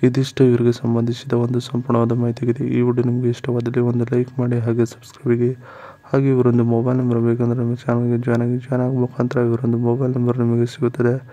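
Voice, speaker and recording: Mellow male narrator with very level pitch. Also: completely flat delivery, fast talker, lo-fi sound. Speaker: channel host narrator. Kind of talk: scripted voice-over narration, reading out a profile.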